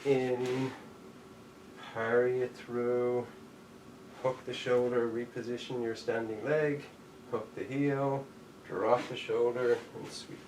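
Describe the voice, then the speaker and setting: A man teaching a live online jujitsu class, calling out each step as he demonstrates it. His voice sits at an ordinary middle pitch and bounces around an echoey room. The camera mic is a few steps away, and faint background noise sits under him. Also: level tone, slow pace, darkish tone, recorded from a distance. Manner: demonstrating moves while talking, counting out steps. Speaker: jujitsu instructor.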